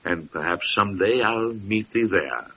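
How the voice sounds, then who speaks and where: Courteous Persian nobleman, low forceful voice. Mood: tender, wistful. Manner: earnest, halting, solemn delivery. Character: courteous, weary Persian nobleman, a wandering seeker. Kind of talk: classic radio drama acting, archaic diction. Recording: dull, noisy old radio recording.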